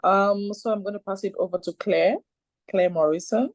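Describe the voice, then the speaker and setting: A woman's voice: mid-range voice, normal speed, no echo, clean studio sound. A woman moderating an online meeting, handing over to speakers in an effusive, upbeat way. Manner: effusive, upbeat hand-offs.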